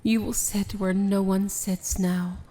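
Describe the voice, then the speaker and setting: Woman playing a slow-spoken, regal evil princess. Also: medium pitch, level tone, warm tone, natural voice. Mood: tender, earnest. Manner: measured, regal, deliberate, formal. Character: proud, dangerous evil princess, commanding yet tender. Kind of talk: ASMR fantasy romance roleplay, dramatic monologue.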